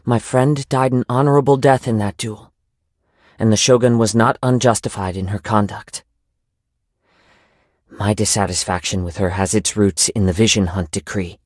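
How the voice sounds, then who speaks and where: A young man playing a gentle, poetic wandering samurai in a video game, soft-spoken and reflective, with formal, measured phrasing. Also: on the low side, fairly even intonation, no echo, clean studio sound, close to an everyday voice. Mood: solemn, thoughtful. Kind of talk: video game character voice lines.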